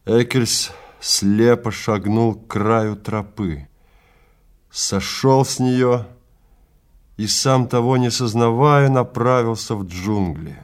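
A man narrating a story from a script in a measured, theatrical, dramatic manner. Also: medium pitch, a little mellow.

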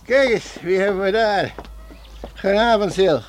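A man's voice: high voice, moderate pace, lightly acted. A man playing a sociable village schoolmaster in a radio drama, calling out in a hearty manner. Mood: hearty greeting. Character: sociable village schoolmaster hailing a busy farmer. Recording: faintly noisy old radio recording.

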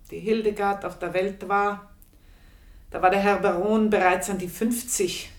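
A woman's voice: fairly low pitch, echoey room, close to an everyday voice. An actress playing an earthy, proud, aging housemaid, confiding her memories in a radio play monologue. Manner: confiding, unhurried storytelling with pointed pauses. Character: earthy, proud aging housemaid reminiscing about past desires.

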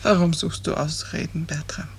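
Eine Schauspielerin spielt in einem Hörspiel der fünfziger Jahre eine schwerkranke Frau, eindringlich, bitter und wehmütig. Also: female voice, low voice, steady tone, moderate pace, husky, exaggerated voice. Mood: quiet, sorrowful. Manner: intense, reproachful, wistful. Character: seriously ill woman patient, bitter, searching, wanting the truth. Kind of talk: 1950s German radio drama acting. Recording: noisy early 1950s radio recording.

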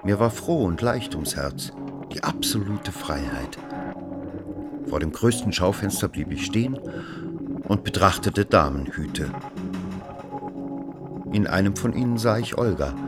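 A man playing a brooding first-person narrator in a radio drama, telling a literary tale in a measured, dramatic way. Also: sits low. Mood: reflective.

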